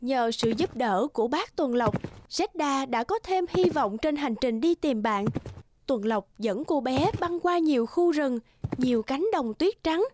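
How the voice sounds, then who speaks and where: A woman's voice: high voice, animated, measured pace, background noise. Woman narrating a fairy tale.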